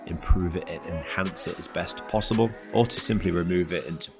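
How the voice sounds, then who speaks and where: A man's voice: low voice, fairly quick, vocal fry. A man with a cold, sounding nasal and congested, narrating his car detailing video in a methodical, explanatory way. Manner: methodical, explanatory, lists steps one by one. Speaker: car detailer and channel host. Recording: voice-over with loud music underneath.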